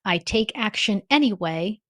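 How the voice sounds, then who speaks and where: A woman's voice: mid-range voice, lively intonation, a little slow, a bit bright, no room sound. Earnest teacherly woman, dry sound. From born in Costa Rica, raised in New Jersey. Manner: earnest, emphatic teacherly explaining. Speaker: guest, professor teaching on-camera confidence. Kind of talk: interview answers.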